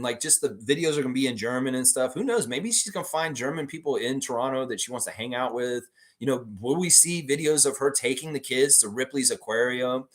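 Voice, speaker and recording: A man hosting a casual live stream about basketball, reading viewer comments aloud and reacting with laughs and sudden loud, high bursts. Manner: animated, laughs often, swings loud and high when joking. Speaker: sports podcast host reading live chat.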